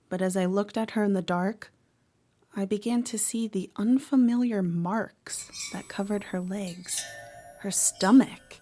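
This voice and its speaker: Troubled teen girl, played by a woman, telling her story in horror radio drama, measured delivery with dramatic pauses, music underneath. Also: mid-range voice, a little mellow, natural voice. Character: troubled teenage girl narrating, protective of her sister. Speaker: actor narrating. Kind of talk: first-person horror audio drama monologue.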